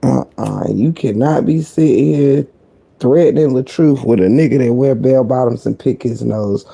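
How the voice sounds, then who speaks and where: Woman, very gravelly, husky, deep croaky voice, sassy blunt gossip streamer, talks low. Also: normal speed. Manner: sassy and blunt, heavy cursing; talking low to keep quiet. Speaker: live-stream commentator. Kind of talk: casual live-stream gossip commentary.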